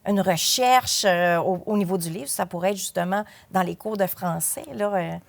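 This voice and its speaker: A woman with a Quebec French accent speaks at an average pitch. She interviews in a casual, attentive way, with informal phrasing. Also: moderate pace, gravelly. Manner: attentive, informal questioning with brief acknowledgements.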